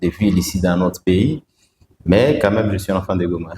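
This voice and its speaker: A very low-voiced man answers interview questions slowly, pausing often on fillers. His voice rings in an echoey room with a faint hiss of background noise. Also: warm tone. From Democratic Republic of the Congo. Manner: measured, hesitant, frequent "euh" fillers. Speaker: guest, provincial road-safety director.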